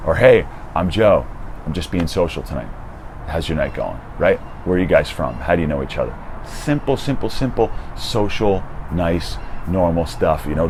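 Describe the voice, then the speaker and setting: A young man with a very low, deep voice talks straight to the viewer, lively and loose, throwing in "dude" and the odd swear word. He sounds outdoors, with faint traffic and city noise behind him. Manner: energetic, swears casually, says "dude" and "right?" often. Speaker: dating coach. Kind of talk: casual direct-to-camera advice.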